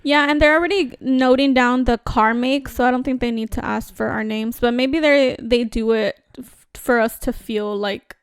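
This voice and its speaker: A woman co-hosting a casual podcast chat, talkative and animated, laughing often. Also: on the high side, moderate pace, smooth, no room sound. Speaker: podcast co-host.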